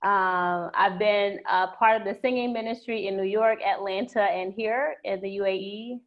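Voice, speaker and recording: Soft-spoken American-born woman on a video call. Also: medium pitch, steady tone, moderate pace. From United States. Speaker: church member, math teacher. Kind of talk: casual video call sharing. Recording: muffled lo-fi video call audio.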